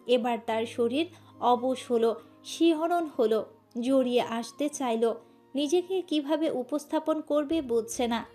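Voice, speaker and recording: A woman's voice: high voice, a little mellow. A woman reading a scripted romantic story as its narrator.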